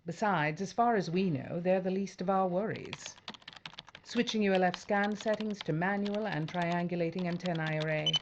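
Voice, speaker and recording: A woman playing a weary, determined spaceship pilot and commander in a science fiction audio drama, brisk and businesslike with a wry edge. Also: on the low side, highs cut off, a little hiss or hum, lightly acted. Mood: resolute.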